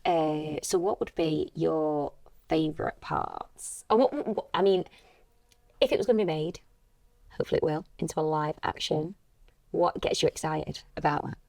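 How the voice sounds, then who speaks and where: A woman's voice: deep voice, moderate pace, gravelly, darkish tone, dry recording. A young woman, an actress, interviewing a colleague in casual, easygoing podcast chat.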